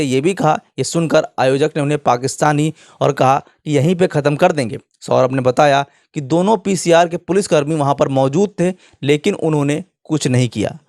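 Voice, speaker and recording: A man's voice: on the high side, a little mellow, no echo, studio quality. A man presenting opinionated online news commentary in an indignant manner, often posing rhetorical questions.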